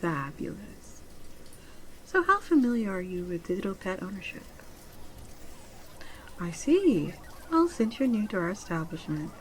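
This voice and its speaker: A woman acting as a genteel saleswoman in a futuristic pet shop, speaking softly in an ASMR roleplay style. Her delivery is courteous and measured, often sinking toward a whisper, at a medium pitch. There is clear ambient noise behind her. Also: natural voice. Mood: welcoming, courteous. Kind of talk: soft-spoken ASMR roleplay, customer-service patter.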